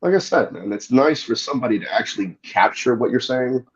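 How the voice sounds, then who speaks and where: A man's voice: mid-range voice, expressive, fairly quick, lo-fi sound. A man appearing as a guest demonologist, explaining his views earnestly and thoughtfully. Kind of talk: casual interview talk.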